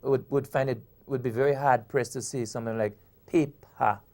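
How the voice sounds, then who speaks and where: A man's voice: medium pitch, steady tone, moderate pace, darkish tone. A man, a poet from Grenada, talks through his work in an interview, often repeating words and restarting before he lands a point. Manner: explains with frequent repetitions and restarts. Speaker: poet and author. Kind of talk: conversational interview.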